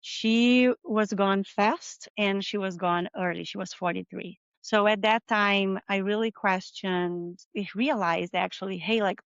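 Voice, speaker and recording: A middle-aged Brazilian woman speaks English with a clear Brazilian Portuguese accent and a smooth, resonant voice. She talks openly and thoughtfully, with a few small non-native slips. The sound is dry and a little muffled. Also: mid-range voice, fairly even intonation, a little slow, hardly any pauses, no room sound. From southern Brazil. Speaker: interview guest, marketing manager. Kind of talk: video interview conversation.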